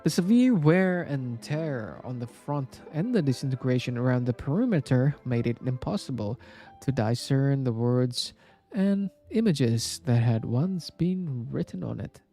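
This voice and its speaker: A man reading a story aloud as scripted narration, with a measured, dramatic storybook delivery. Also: mid-range voice, expressive, dark tone, soft background music. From Indonesia.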